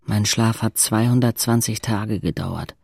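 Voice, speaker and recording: A man's voice: fairly low pitch, a little slow, vocal fry, a little mellow, husky, heavily acted. An actor playing a sardonic fourteen-year-old paralysed boy who narrates a radio play in a dry, laconic way. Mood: matter-of-fact. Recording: music behind the voice.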